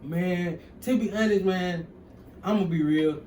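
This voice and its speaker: A man's voice: sits high, unhurried, warm tone. A young man from Mississippi with a Southern accent, speaking in laid-back slang and often adding "you know what I'm saying". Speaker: guest wrestler. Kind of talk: casual interview. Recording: far-off echoey room with faint noise.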